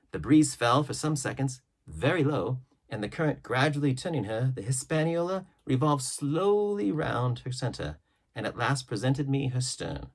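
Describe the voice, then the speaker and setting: A man reading a classic adventure novel aloud as an audiobook, with a dramatic, varied storytelling delivery and deliberate pauses. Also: mid-range voice. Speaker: audiobook reader.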